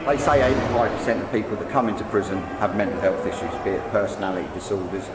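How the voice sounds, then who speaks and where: Blunt low-voiced prison officer. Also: male voice, steady tone, fast talker, over a noisy crowd. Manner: blunt, emphatic. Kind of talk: interview answers. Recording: echoey room with loud noise.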